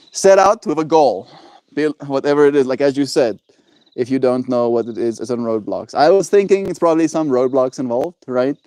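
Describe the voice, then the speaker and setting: A man's voice: mid-range voice, mellow, no echo. A young man chatting casually on his livestream, laid-back and encouraging, answering viewers and calling them bro.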